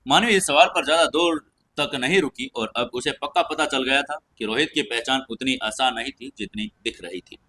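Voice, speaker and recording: Bright, forceful male story narrator. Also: medium pitch, normal speed, few pauses. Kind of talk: scripted dramatic narration.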